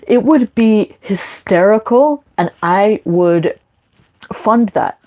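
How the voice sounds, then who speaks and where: A woman's voice: mid-range voice, expressive, slow pace, a little mellow, poor audio. A young woman live streaming, talking candidly and rambling with many fillers and restarts.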